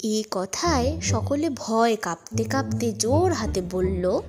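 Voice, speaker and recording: High-voiced woman storyteller over music. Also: lively intonation, slow pace. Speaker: story narrator. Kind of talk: expressive scripted story narration. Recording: loud music behind the narration.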